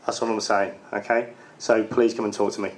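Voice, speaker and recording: A man giving an unscripted sales pitch to a room, filling his talk with "actually" and "uh" and often repeating words. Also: flat delivery, brisk, slight background noise. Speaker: sales representative pitching a product. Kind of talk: impromptu sales pitch at a networking event. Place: event room with an audience.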